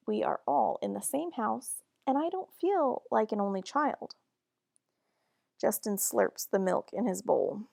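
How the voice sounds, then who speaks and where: A woman reading a children's picture book aloud in a deliberate, expressive storytelling manner. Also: medium pitch, gravelly, no room sound. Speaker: storybook reader.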